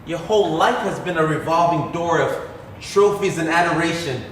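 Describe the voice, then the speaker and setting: A man's voice: fairly high pitch, normal speed, a bit bright, lightly acted. Man playing a sardonic grown son, echoey stage. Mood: sardonic, resentful. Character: envious, dryly sardonic adult son, dutiful to his father. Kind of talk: stage play acting. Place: theatre stage, recorded from a distance. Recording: echoey theatre stage from far off, faint noise.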